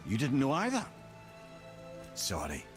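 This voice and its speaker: An actor playing a wry, courteous, wise talking severed head in a fantasy video game. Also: male voice, medium pitch, wildly swooping pitch, measured pace, faint music behind. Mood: polite, rueful. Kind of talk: cinematic game voice acting.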